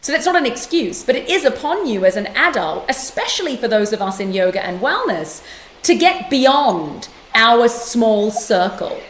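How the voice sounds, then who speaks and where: A woman's voice: fairly high pitch, sing-song, slight background noise. Passionate woman on a roomy call. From London, England. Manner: passionate and emphatic, with quick repeated restarts. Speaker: guest author and yoga teacher. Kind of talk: conversational interview.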